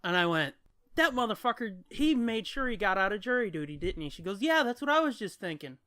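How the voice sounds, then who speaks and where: A man's voice: sits high, very animated, fairly quick, bright, forceful tone, no room sound. An American man co-hosting a podcast, telling stories casually in an irreverent, profane, joking way. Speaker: podcast co-host. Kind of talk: casual chat, storytelling.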